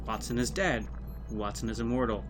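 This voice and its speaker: A man playing an earnest underling in an audio drama, briskly relaying reports in a puzzled way. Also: on the low side, fairly even intonation, close to an everyday voice. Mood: bewildered. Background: music.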